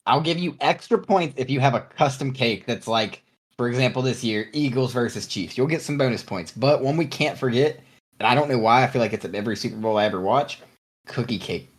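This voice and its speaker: Male co-host, relaxed and agreeable, casual delivery. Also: mid-range voice, slightly rough. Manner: laid-back, quick laughs and short agreements. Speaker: podcast co-host. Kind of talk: casual chat.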